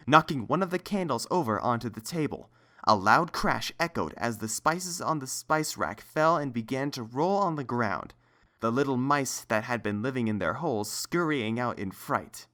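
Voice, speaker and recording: A man's voice: mid-range voice, fairly bright tone. A man reading a scripted story as its narrator.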